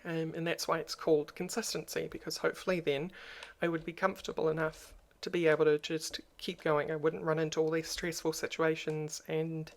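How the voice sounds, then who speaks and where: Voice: mid-range voice, little pitch movement, brisk, creaky, few pauses. A YouTuber with a crackly voice, talking in a subdued and hesitant way in a personal vlog, with frequent ums. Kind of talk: personal vlog talk to camera.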